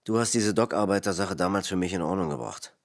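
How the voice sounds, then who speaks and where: An actor playing a heavy, blunt city politician in a radio drama, gravelly and husky, given to cursing. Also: male voice, low-pitched, fast talker, lightly acted. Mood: blunt, insistent.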